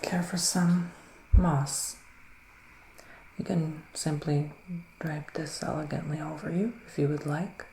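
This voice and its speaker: A soft-spoken man playing a gentle, mystical witch in an ASMR roleplay. His voice is creaky and often breaks into near-whispers, with slow, musing pauses. The room is echoey, with faint noise behind him. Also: a little high, steady tone. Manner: slow, hesitant, musing, with murmured hums. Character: gentle, mystical male witch giving readings.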